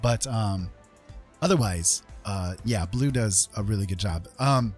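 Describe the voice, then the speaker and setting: A man's voice: lowish voice, expressive, measured pace, darkish tone. A man chatting casually to viewers as a live streamer, rambling along in an easygoing, informal way. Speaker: former radio host. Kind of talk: casual livestream chat with viewers. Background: music playing.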